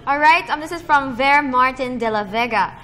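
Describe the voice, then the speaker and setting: A woman hosting a sports newscast in a studio, moving briskly between scripted links and easy banter. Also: sits high, fairly bright tone.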